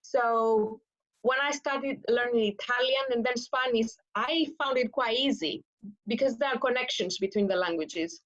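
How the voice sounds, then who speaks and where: Woman, bit high voice, thoughtful answers, muffled call sound. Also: a bit bright. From Greece. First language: Greek. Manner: measured, thoughtful, with ums. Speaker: guest translator. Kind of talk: interview answers.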